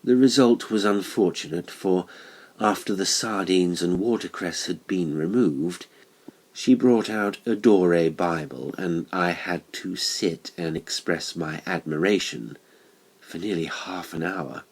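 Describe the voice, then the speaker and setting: A man narrates a horror audiobook. His voice is deep and grainy, and he reads very slowly. The delivery is deliberate and dramatic, with every phrase carefully measured.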